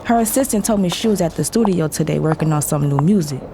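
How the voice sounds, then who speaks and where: A woman's voice: deep voice, expressive, fast talker, noisy background. A young American woman narrating an audio drama in the first person, sassy, gossipy and confiding, as if talking to a friend. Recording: music bed behind the voice. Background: clear background music.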